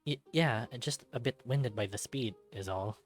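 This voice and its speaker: A man's voice: mid-range voice, normal speed, lightly acted. A man voicing a young male trainee fighter in a visual novel, earnest and a little hesitant.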